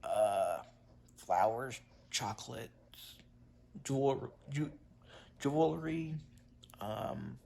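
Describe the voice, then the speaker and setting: A congested man chatting casually to his viewers in a vlog, halting with many fillers and restarts. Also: mid-range voice, expressive, creaky, a little mellow, slight background noise. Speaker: vlogger.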